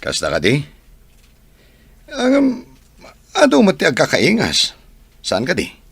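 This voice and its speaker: A man's voice: on the high side, huge pitch swings, measured pace, vocal fry, a bit bright, husky, dry recording, exaggerated voice. A man playing a shrewd boss in an Ilocano comedy radio drama, probing with questions.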